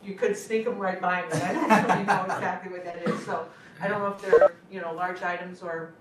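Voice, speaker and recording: A woman's voice: on the low side, fairly even intonation, fairly quick, crowd noise. A woman chairing a school board meeting, asking plain questions. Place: meeting room. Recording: distant echoey room mic, faint noise.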